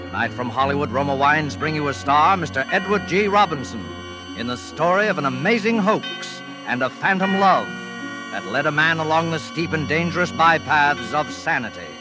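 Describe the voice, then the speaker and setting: A man's voice: fairly high pitch, lively intonation, normal speed. A man hosting a mystery series, delivering formal, dramatic, ominous scripted narration in 1940s radio style. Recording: vintage 1940s radio broadcast. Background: loud dramatic music.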